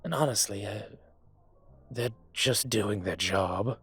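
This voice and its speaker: A man playing a brooding, courteous and vengeful dragon mafia boss in an intimate audio roleplay, speaking in a measured, hesitant way with a husky voice. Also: lowish voice, fairly bright tone, faint music behind, exaggerated voice. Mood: relaxed, courteous. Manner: measured, hesitant, pausing often. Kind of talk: audio roleplay drama, intimate dinner conversation.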